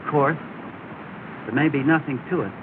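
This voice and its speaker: An actor playing an earnest, polite young gentleman, respectful and sincere, in 1930s film style. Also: on the high side, moderate pace, lightly acted. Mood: earnest, hopeful. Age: young adult. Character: earnest, polite, affable young gentleman newly arrived north. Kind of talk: early 1930s film acting. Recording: noisy, tinny 1930 film soundtrack.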